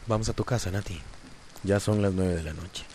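A man's voice: low-pitched, moderate pace, a little mellow, a little hiss or hum, close to an everyday voice. An actor playing a worried, firm middle-aged father with a Costa Rican accent in a radio drama. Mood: reassuring, urging.

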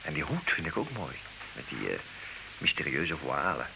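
Anxious, wry husband for vintage radio play; lively melodic range, theatrical, slight tape hiss. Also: male voice, rumbling low, normal speed, creaky, exaggerated voice, low-quality recording. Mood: feigned casualness. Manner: theatrical, wry, swinging between agitated and resigned. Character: resigned, wry betrayed husband, anxious and talkative. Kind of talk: Dutch radio play acting, comic drama. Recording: hissy old radio play recording.

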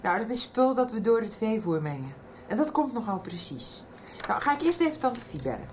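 An actress playing a practical, concerned woman in a radio soap, explaining things briskly. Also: fairly high pitch, big pitch swings. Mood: explanatory. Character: practical, concerned grown woman in a family business. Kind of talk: 1990s Dutch radio soap acting. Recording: faint background noise.

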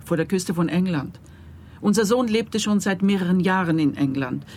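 An actress playing a composed middle-aged mother in a radio play, polite and measured. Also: on the low side, warm tone, a little hiss or hum, natural voice. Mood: sober, composed.

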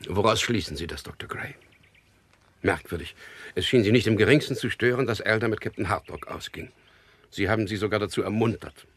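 A man's voice: fairly low pitch, a bit bright, breathy edge. An actor playing a wry, stubborn man in love who narrates his own story in a radio drama, with a dry, understated delivery. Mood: firm, polite. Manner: dry, understated, teasing, deliberate.

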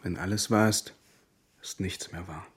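Husky, earnest refugee man. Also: sits low, steady tone, normal speed. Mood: solemn conviction. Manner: terse, solemn, matter-of-fact. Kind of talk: radio drama acting.

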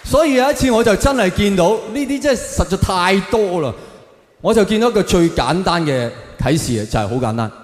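A man with a Hong Kong Cantonese accent doing an animated, colloquial stand-up comedy routine on stage. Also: on the high side. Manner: animated, colloquial, acting out exchanges. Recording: echoey hall with long reverb.

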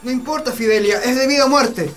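Young chilean farm lad, really high voice, earnest pleading kind of respectful, radio drama. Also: male voice, fairly quick, over music. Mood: urgent, pleading. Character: earnest, defiant young peasant in love. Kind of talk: Spanish-language radio drama acting.

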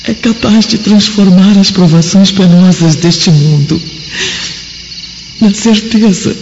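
A woman's voice: mid-range voice, slow pace, darkish tone. An actress playing a devout, sorrowful Roman noblewoman and mother, praying solemnly in a radio drama. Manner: solemn, prayerful delivery. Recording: dull lo-fi radio drama, background noise.

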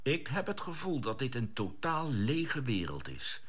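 An actor playing a decisive, composed spaceship captain in a radio drama, with a husky voice and an air of command. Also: male voice, mid-range voice, huge pitch swings, measured pace, fairly bright tone, exaggerated voice. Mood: measured, assured. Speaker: expedition leader.

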